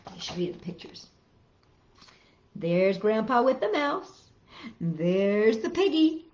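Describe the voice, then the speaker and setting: A woman's voice: mid-range voice, very animated, takes it slowly, darkish tone, a little hiss or hum. A woman hosting a children's story time, reading aloud in simple phrases with dramatic emphasis. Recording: roomy, dull lo-fi home recording.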